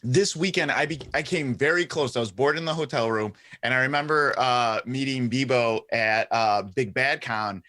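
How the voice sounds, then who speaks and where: A man chatting casually at a tabletop game, rambling excitably through joking anecdotes. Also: mid-range voice, moderate pace, hardly any pauses.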